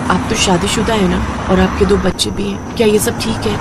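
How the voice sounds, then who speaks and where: A woman voicing a scheming, haughty young model in a family drama story, with sharp, scornful delivery. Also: mid-range voice, fairly even intonation, normal speed, heavy background noise, lightly acted. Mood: polite, sweet. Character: scheming young female model, haughty and selfish when cornered.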